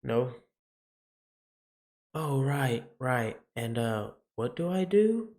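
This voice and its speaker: A man's voice: mid-range voice, very animated, very slow, a little mellow, exaggerated voice. A man voicing an anguished, macabre young woman with amnesia in a visual novel, theatrical and brooding, easily bewildered. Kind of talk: dramatic first-person visual novel narration.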